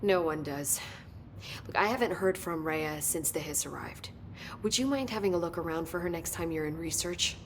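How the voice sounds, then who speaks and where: A woman's voice: moderate pace, natural voice. An actress playing an eager, precise, nerdy woman scientist in a video game. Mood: earnest, concerned. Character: eager, earnest woman scientist, brainy and intense. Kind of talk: naturalistic video game acting, technical explanation. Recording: faint rumbling background noise.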